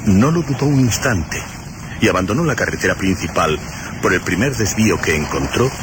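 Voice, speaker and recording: Male narrator for radio suspense: very low, highly expressive, deliberate dramatic phrasing, loud background noise.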